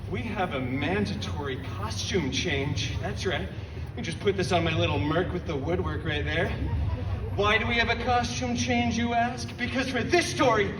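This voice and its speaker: An actor playing a wisecracking masked male mercenary who tells a story with sarcastic, animated jokes at a live theme-park show. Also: sits high, noisy background. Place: outdoor theme-park stage. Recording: roomy outdoor stage sound from far off, music.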